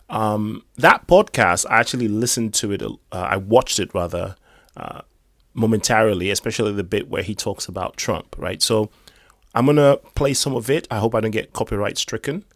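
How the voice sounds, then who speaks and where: A hoarse man giving rambling, casual commentary on crypto news. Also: fairly low pitch, a bit bright, no room sound, clean studio sound. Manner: rambling, chatty asides.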